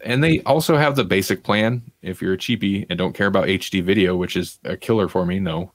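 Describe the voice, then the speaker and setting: A man with a fairly low voice chats casually as a podcast host. His delivery is wry and conversational, and the sound is dry, with very little room in it. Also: fairly quick, no room sound. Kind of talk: casual pop culture chat.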